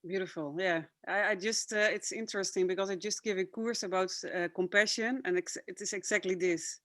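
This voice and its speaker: A woman whose first language is Dutch, interviewing a guest in a halting, hesitant way. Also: medium pitch, steady tone, a bit bright, no room sound, clean studio sound. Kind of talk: casual interview chat.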